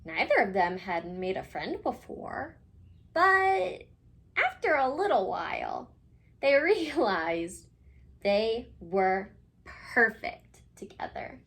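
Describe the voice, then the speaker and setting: A woman reading a picture book aloud for children, expressively and with dramatic pauses, speaking directly to her young listeners. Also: mid-range voice, very animated, a bit bright. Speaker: children's story reader.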